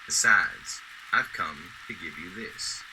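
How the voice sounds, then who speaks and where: An actor playing a kindly middle-aged garbage truck driver in a radio drama, warm, polite and folksy. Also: male voice, low-pitched, lively intonation, slow pace, background noise. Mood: warm, playful. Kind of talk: golden-age radio drama acting.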